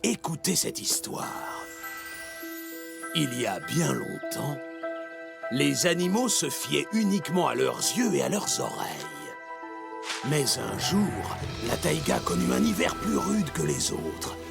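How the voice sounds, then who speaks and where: Give the part to an old cartoon elder with a heavily creaky voice. He tells tales to children kindly and slowly, with expressive swings and music behind him. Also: medium pitch, exaggerated voice. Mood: storytelling. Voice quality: gravelly, creaky. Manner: slow, expressive storytelling. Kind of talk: French children's cartoon dub. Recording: music under the voice.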